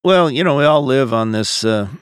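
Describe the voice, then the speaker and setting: An American man speaking as a pastor, answering questions in a measured, explanatory, conversational podcast style. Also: medium pitch, lively intonation, clean tone, no echo, studio quality.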